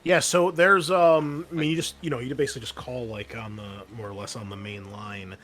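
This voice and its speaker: A man acting as game master, narrating and explaining a tabletop roleplaying game in a casual, halting, conversational way. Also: medium pitch, fairly even intonation, a bit bright.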